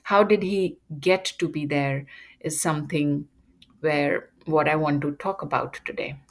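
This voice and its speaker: A woman giving an unscripted talk to her audience, measured, with frequent ums and uhs. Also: deep voice, fairly even intonation. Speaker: educator presenting a topic. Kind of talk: unscripted lecture monologue.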